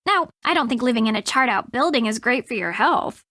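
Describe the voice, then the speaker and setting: A brisk young woman investigator in a game. Her voice is bright and forward, somewhat high, and she explains her theories plainly. The recording is dry and close. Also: lively intonation, no echo. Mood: matter-of-fact. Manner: matter-of-fact, explanatory. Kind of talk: video game voice acting, explanatory dialogue.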